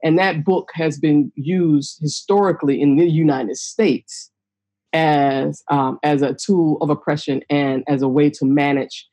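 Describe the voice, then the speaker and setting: Podcast host, woman, husky voice, outspoken and emphatic, repeats key lines for weight. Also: low-pitched, steady tone, measured pace, mellow. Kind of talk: candid conversational podcast talk.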